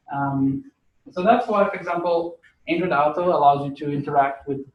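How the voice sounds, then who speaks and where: A man's voice: a little high, a little hiss or hum. Portuguese-accented man lecturing in an echoey hall. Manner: casual, chatty, with many fillers. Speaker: university lecturer. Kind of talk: classroom lecture.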